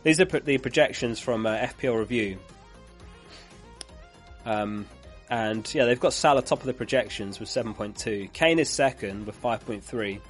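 Hoarse man chatting on a live stream. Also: medium pitch, normal speed, faint music behind. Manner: chatty, reads out viewer comments and laughs. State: losing his voice. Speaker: fantasy football streamer.

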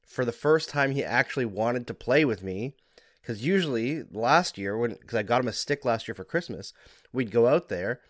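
A man from Alberta, a hockey analyst co-hosting a podcast, sick and under the weather, telling relaxed chatty stories. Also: mid-range voice, no echo, clean studio sound. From Alberta, Canada. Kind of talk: casual sports podcast chat.

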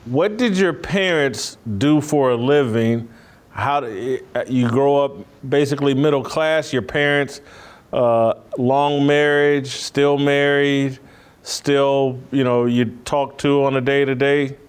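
Smooth-voiced man hosting an interview. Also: mid-range voice, fairly even intonation, slow pace. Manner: loose, rambling questions with restarts. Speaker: podcast host. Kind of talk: casual interview.

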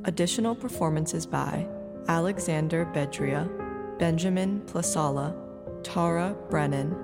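A woman's voice: deep voice, a little slow, creaky. A woman announcer giving a scripted read of production credits. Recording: long reverb, music behind.

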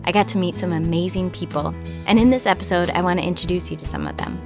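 A woman from Minnesota hosting a podcast, narrating a script in an earnest, measured way. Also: fairly low pitch, over music. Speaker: podcast host.

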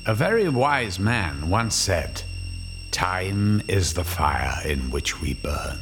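Sinister horror show host, deep n slow, theatrical and ominous. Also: male voice, very animated, over music, exaggerated voice. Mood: ominous, musing. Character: sinister, philosophical horror host musing on death. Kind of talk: dramatic horror anthology narration.

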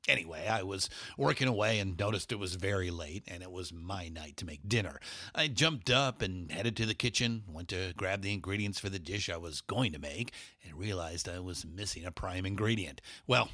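A man's voice: deep voice, animated, moderate pace, bright, forceful tone, dry recording. A man reading a podcast ad as a chatty personal story, in a dry studio recording. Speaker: ad host. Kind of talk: host-read ad.

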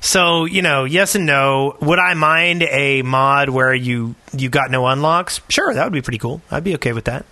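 A man's voice: medium pitch, normal speed, hardly any pauses, pushed and bright, dry recording. A man co-hosting a podcast, chatting casually with a wry manner. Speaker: podcast co-host.

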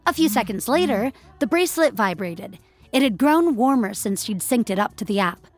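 A woman's voice: a little high, expressive, moderate pace, faint music behind. A woman narrating scripted audio fiction in the third person.

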